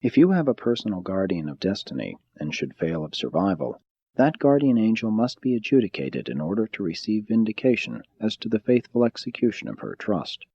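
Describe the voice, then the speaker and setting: Mellow, slightly husky low-voiced male narrator. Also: very animated, dry recording, highs cut off. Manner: measured, solemn, formal reading. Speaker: audiobook narrator. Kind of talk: scripted audiobook narration of a spiritual text.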